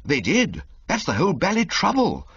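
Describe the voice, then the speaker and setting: A man doing a high, flustered young gent. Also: wildly swooping pitch, normal speed, gravelly, a bit bright, husky, heavily acted. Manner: breezy, fumbling, full of uhs. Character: flustered, chatty young gentleman. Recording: slightly muffled lo-fi audio.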